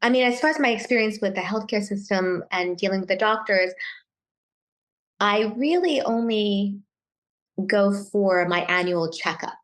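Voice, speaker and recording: A middle-aged woman born in Taiwan, talking casually as an interview guest, often pausing and restarting her sentences. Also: medium pitch, low-quality recording. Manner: hesitant, many restarts and fillers.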